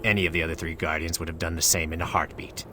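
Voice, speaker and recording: An actor playing a solemn, regretful male divine being in a game, speaking in a measured, formal manner. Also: low voice, level tone, noisy background, lightly acted. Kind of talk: scripted game dialogue.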